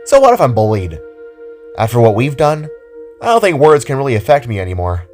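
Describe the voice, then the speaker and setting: A man's voice: medium pitch, huge pitch swings, brisk, darkish tone, soft background music, pitched above the performer's own voice. A man voicing an upbeat, caring teenage girl heroine with an earnest, encouraging manner, in an audio drama. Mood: confident, earnest.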